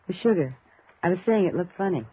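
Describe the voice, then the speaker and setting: A woman playing an anxious, chatty young woman in a 1950s radio drama, earnest and expressive. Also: fairly low pitch, a little fast, lightly acted. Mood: puzzled. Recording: muffled, noisy 1950s radio recording.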